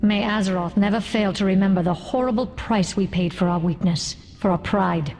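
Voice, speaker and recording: A woman's voice: medium pitch, normal speed, darkish tone, lightly acted. A woman playing a bitter, proud undead queen in a fantasy game, speaking in a solemn, imperious, formal way. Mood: bitter relief. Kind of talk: fantasy game cutscene acting. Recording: long hall reverb over music.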